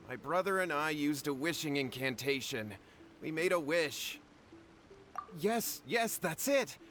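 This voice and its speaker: Cowardly young townsman, fairly high voice, flustered, pleading, game dialogue with background music. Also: animated, takes it slowly, pauses often, fairly bright tone. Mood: nervous confession.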